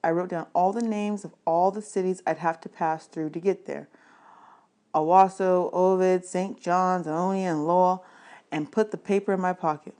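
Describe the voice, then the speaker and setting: A woman's voice: on the low side, steady tone, moderate pace, dark tone. A woman reading a children's novel aloud as audiobook narration, in the manner of a teacher.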